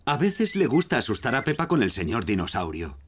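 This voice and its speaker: A man's voice: mid-range voice, wildly swooping pitch, fairly quick, a little hiss or hum. A man with a Castilian Spanish accent reading scripted narration for a children's cartoon.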